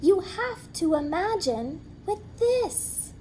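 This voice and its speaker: Give me a lively, imaginative young girl, high and full of wonder, as a woman reading aloud puts her on. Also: slow, slight background noise, exaggerated voice. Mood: earnest.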